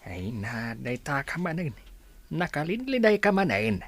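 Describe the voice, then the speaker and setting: An actor playing a friendly, fussing village worker in an Ilocano radio comedy drama, with an Ilocano accent and exclamatory delivery. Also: male voice, on the high side, very animated, measured pace. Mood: chiding, concerned.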